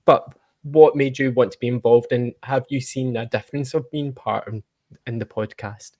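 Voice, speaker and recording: Podcast host, male, Scottish accent, medium pitch, casual chat delivery. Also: fairly even intonation, moderate pace, mellow.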